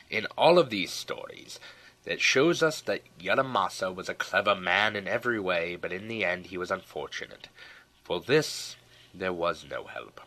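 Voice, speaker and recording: A man reading a historical tale as an audiobook narrator, with a measured, dramatic storytelling delivery. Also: low-pitched, bright, forceful tone, rough recording.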